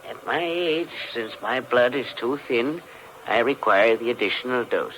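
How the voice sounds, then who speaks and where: A male actor plays an older chemistry professor in a 1940s radio mystery, stern and guarded. His voice is bright and forceful, medium in pitch, and his delivery is clipped and authoritative. The old broadcast recording carries some noise. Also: lively intonation, moderate pace, lightly acted, low-quality recording. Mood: absorbed muttering.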